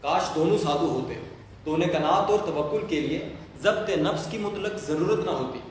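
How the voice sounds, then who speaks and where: A man's voice: on the high side, moderate pace. A man narrating a scripted story for an audio play. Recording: echoey hall, long reverb, faint noise.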